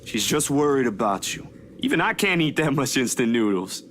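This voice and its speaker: Animated, easygoing young guy. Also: medium pitch, moderate pace. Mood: casual, caring. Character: easygoing, caring young man, friend of the heroine. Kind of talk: visual novel voice acting. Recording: faint background noise.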